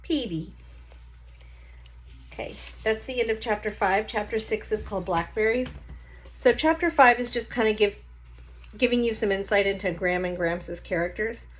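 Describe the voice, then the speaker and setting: A woman's voice: mid-range voice, normal speed. A woman reading a children's novel aloud like a teacher, with short explanatory asides.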